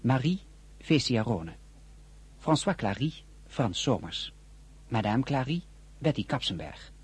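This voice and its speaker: A male radio announcer with a breathy, husky edge to his voice. He reads drama credits formally from a script at a medium pitch. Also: very animated, a little slow.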